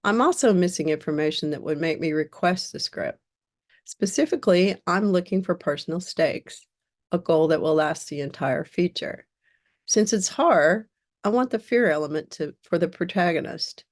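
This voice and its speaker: A woman giving a prepared critique as a reviewer, in a measured, direct and instructive way. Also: fairly low pitch, animated. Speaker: script reviewer.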